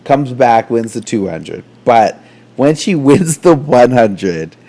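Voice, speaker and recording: A man's voice: medium pitch, slow pace. A man from Canada co-hosting a casual podcast, telling stories with laughter and acting out the quotes. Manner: animated, laughing storyteller who acts out quotes. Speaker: podcast co-host. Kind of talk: casual chat.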